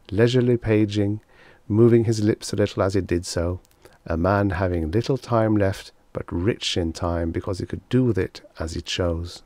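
A man narrating a story as an audiobook reader, measured and expressive. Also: deep voice, no room sound.

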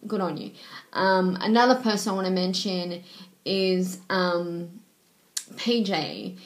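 A young woman, hoarse from a cold, earnestly thanking her viewers one by one in a webcam vlog, full of "um"s and repeated thanks. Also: on the low side. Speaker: vlogger. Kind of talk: casual thank-you messages to viewers. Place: small bedroom indoors. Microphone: webcam at desk.

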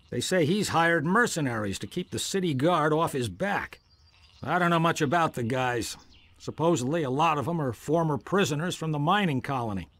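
An actor playing a suspicious, aggrieved farmer in a fantasy video game, grumbling and blunt. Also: male voice, on the high side, a bit bright. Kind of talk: video game dialogue acting.

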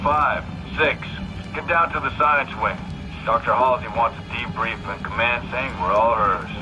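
An actor playing a commanding military squad leader in a game, terse and authoritative. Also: male voice, mid-range voice, steady tone, a little slow, close to an everyday voice, poor audio. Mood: businesslike. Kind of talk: video game cutscene acting. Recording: loud music over the voice.

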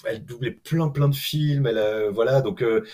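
Middle aged guy on a video call panel, mid voice, halting, repeats words a lot. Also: medium pitch, a little mellow. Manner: halting, hesitant delivery with many self-repetitions. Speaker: guest animated film director. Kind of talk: casual panel discussion.

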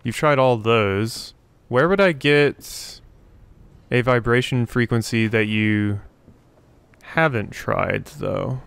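A man's voice: medium pitch, slow pace, vocal fry, fairly bright tone, smooth. A man doing casual let's play commentary, thinking aloud and reading out notes as he goes. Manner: thinks aloud, talking to himself and the game. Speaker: gaming video host.

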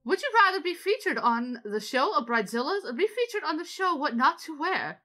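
A woman's voice: high voice, very animated, a little fast, bright, forceful tone, full character voice. A woman voicing an elegant female anime attendant, teasing and playfully prim, in improvised gaming banter. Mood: chatty, curious.

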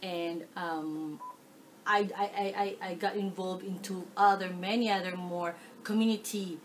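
A middle-aged woman from the Philippines with a Filipino accent, answering oral history questions in a living room, animated, with many fillers. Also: lowish voice, a little slow, a little hiss or hum, low-quality recording. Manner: animated, gestures while talking, frequent fillers. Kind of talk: casual oral history interview. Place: living room, seated on a leather sofa.

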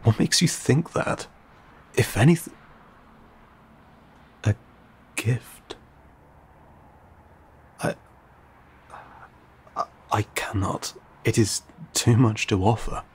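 A man playing an ancient, courteous, guarded vampire in an intimate audio roleplay, speaking in formal, old-fashioned, measured phrases with some hesitation. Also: expressive, heavily acted. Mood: flustered, reluctant. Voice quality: low, gravelly, breathy.

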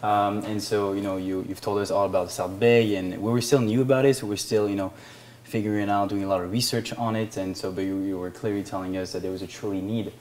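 Deep-voiced French-accented man, 30s, filler-heavy and halting. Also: steady tone, a little mellow, slight background noise. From France. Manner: halting, full of "you know" fillers. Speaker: bakery owner. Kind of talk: casual interview. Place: small studio set.

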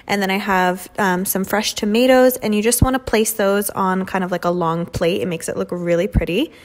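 Young woman, mid-pitched, relaxed chatty vlogger narrating her home cooking. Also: moderate pace. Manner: chatty, many "um" and "like" fillers. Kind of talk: casual cooking voice-over. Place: home kitchen.

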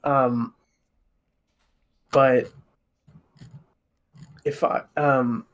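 A man with an average-pitched voice talks casually on a panel. He answers as a music composer in easy conversational style. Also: level tone, very slow, poor audio.

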